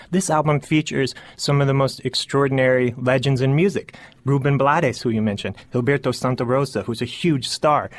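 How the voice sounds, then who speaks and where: A man hosting a radio show, introducing segments and asking interview questions in a measured way. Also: mid-range voice. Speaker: radio host.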